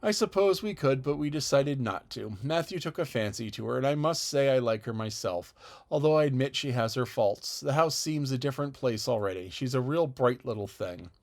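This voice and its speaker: A man's voice: medium pitch, lively intonation, normal speed, close to an everyday voice. A man voicing a stern, dry-spoken middle-aged spinster who talks firmly. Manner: dry, firm.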